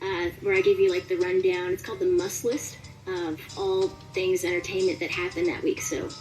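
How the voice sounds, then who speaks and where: A woman's voice: fairly low pitch, level tone, moderate pace, a little mellow, far from the mic, soft background music, low-quality recording. A woman chatting casually and animatedly as an interviewed voice actor. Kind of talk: casual chat.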